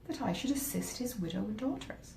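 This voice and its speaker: A woman voicing an earnest, somewhat pompous gentleman husband who is easily swayed, in a classic novel reading. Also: animated, a little fast, natural voice. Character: grave, well-meaning but easily swayed gentleman husband. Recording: roomy echo, faint rumbling noise.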